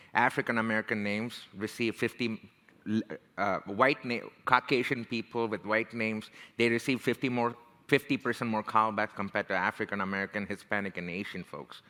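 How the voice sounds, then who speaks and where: A man with an Indian accent speaks in a bright, forceful, somewhat low voice. He talks to the room in a loose, conversational way and keeps asking "right?". The sound has a long hall reverb. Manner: conversational, frequent "right?" and "you know". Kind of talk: motivational talk.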